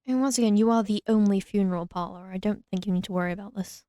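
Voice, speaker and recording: Female gaming commentator, relaxed dark tone, close dry sound, joking asides in a casual chatty style. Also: medium pitch, fast talker, no room sound. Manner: wry, joking. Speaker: let's player. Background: game music.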